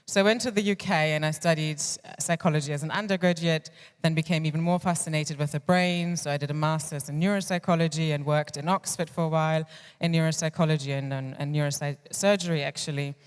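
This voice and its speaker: A woman's voice: sits low, fairly even intonation, fairly quick, clear, resonant tone. A woman in her thirties from Germany whose first language is German, giving a candid personal talk at a conference as a clinical psychologist. She tells her story reflectively and often restarts her sentences. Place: conference hall. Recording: long reverb of a large hall.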